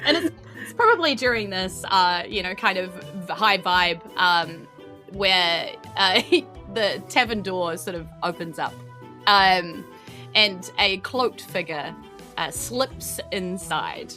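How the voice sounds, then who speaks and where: A woman's voice: fairly low pitch, expressive, takes it slowly, pushed and bright, soft background music. A woman with a New Zealand accent narrating a tabletop roleplay game as game master in a chatty, informal way.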